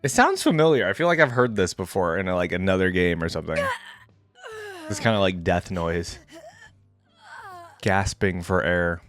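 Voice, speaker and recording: A man's voice: low voice, lively intonation, moderate pace, pauses often, fairly bright tone, faint music behind. A man giving casual reaction commentary as a video host, chatting directly to his viewers in a conversational way. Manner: conversational, addresses viewers directly as guys and gang.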